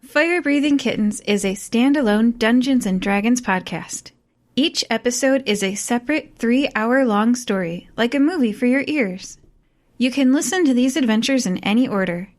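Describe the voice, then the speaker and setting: Woman, scripted promo narration, slightly high pitch, podcast ad read. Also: animated, normal speed.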